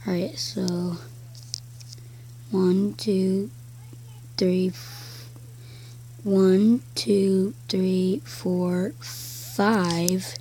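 A woman with a croaky voice giving casual gameplay commentary, slowly counting blocks aloud as she builds. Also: medium pitch, flat delivery, dark tone, a little hiss or hum, poor audio. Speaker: game video creator.

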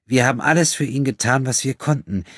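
Indignant righteous nun acted by a man, raised pitch, husky, sharp defensive delivery, audiobook character. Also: fairly quick, no room sound, studio quality, lightly acted.

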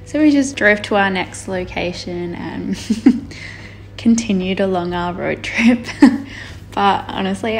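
A young woman from Australia, casually narrating her painting video and telling a story, chatty and relaxed, laughing often. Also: medium pitch. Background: faint background music.

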